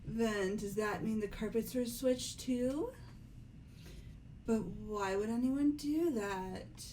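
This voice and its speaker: A woman playing a composed, doubting young aristocratic girl with measured delivery in an amateur game dub. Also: on the high side, mellow, a little hiss or hum.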